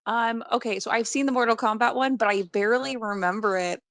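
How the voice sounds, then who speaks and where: A woman's voice: a little high, steady tone, a little fast, fairly bright tone, dry recording. Giggly woman chatting casually, dry sound. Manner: giggly, informal, peppered with "like". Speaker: podcast guest. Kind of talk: casual chat.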